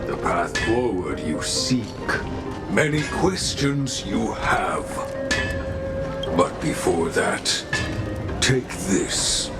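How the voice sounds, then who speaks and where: An actor playing a cryptic, patient old master craftsman in a video game, speaking deliberately with inverted word order. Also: deep voice, sing-song, gravelly, loud music behind the voice, exaggerated voice. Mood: calm, knowing. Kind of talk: dramatic video game voice acting.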